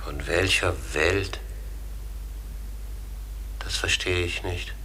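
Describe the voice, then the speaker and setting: A human-sized raven in a radio drama, with a deep, forceful, piercing voice. He is weary and puzzled, answering frankly in plain, grave, formal speech. Faint ambient noise sits behind him. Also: fairly even intonation, very slow, full character voice. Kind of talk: radio play acting.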